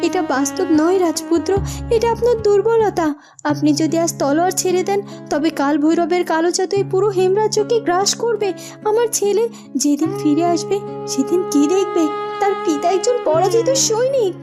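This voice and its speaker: A woman's voice: extremely high pitch, moderate pace, music in the background. A woman playing a resolute, impassioned princess and grieving mother in a cartoon. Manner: firm, impassioned, exhorting.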